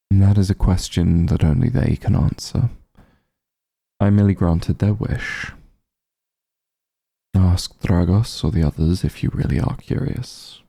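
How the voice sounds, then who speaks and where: Man voicing an ancient vampire lord, gravelly creak, slow measured pace, haughty formal diction, aloof, ASMR fantasy roleplay. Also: sits low, warm tone, no room sound, clean studio sound, exaggerated voice. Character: ancient aloof male vampire patriarch, commanding but honorable.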